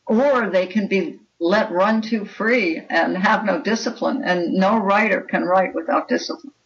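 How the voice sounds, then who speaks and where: An older woman talks in an interview with an emphatic, opinionated manner. Her voice is creaky, and it comes through a little muffled and away from the microphone. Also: medium pitch, unhurried, far from the mic. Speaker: guest writer and anthology editor. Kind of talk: interview conversation.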